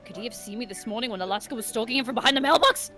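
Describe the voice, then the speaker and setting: A giggly, animated woman streamer reading visual novel narration aloud expressively, mixed with casual banter. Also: fairly high pitch, very fast. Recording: game music behind.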